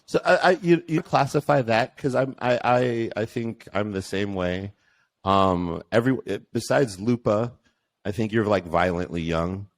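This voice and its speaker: A man's voice: on the low side, unhurried. A man in casual podcast chat, rambling with many restarts and teasing the others.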